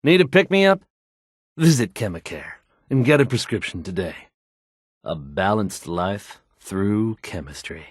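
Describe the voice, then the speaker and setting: An actor playing a polished, confident radio DJ in a video game, with fluent, assured announcer delivery. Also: male voice, on the low side, big pitch swings, unhurried, slightly rough, dry recording, clean studio sound, heavily acted. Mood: salesmanlike, confident.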